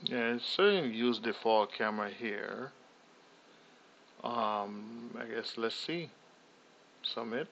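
A young man casually talking through a software tutorial, thinking aloud with fillers and restarts. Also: mid-range voice, slow pace, vocal fry, long pauses, slight background noise. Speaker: tutorial host. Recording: slightly dull lo-fi audio.

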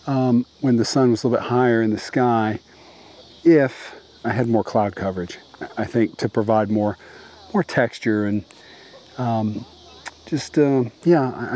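A man's voice: mid-range voice, warm tone. A middle-aged man vlogging landscape photography tips outdoors at dusk, talking casually with lots of fillers and restarts.

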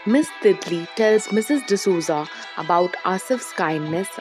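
A woman's voice: lowish voice, lively intonation, a little slow. A woman reading scripted story narration. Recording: loud music under narration.

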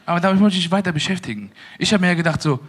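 A man's voice: sits high, racing, slight background noise. A young man from an Afghan family in Germany performing a stand-up set on a small stage with a handheld mic, acting out little scenes. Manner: animated, acts out dialogues with put-on voices. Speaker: stand-up comedian. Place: small stage with living-room set before a live audience.

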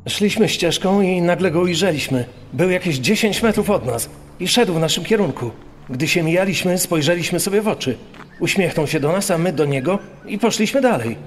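A man's voice: sits high, animated, fairly quick. A man playing a young tourist who survived an attack, giving grave witness testimony in an audio drama. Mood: grave recollection. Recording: long reverb, music behind.

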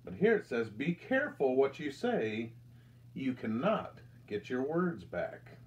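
An American man in his thirties reads a picture book aloud to children with deliberate, expressive storytelling delivery. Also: mid-range voice, muffled recording. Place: indoors, small room with curtain backdrop.

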